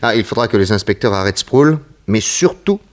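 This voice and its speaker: Low-voiced, shrewd radio-serial detective. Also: male voice, sing-song, fairly quick. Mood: confident, instructing. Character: shrewd, self-assured male detective working undercover. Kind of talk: 1960s French radio detective serial acting.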